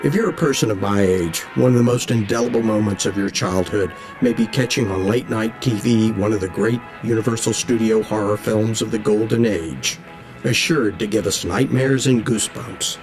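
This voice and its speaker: A middle-aged man presenting an auction in scripted narration, measured and enthusiastic. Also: on the low side. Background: soft music.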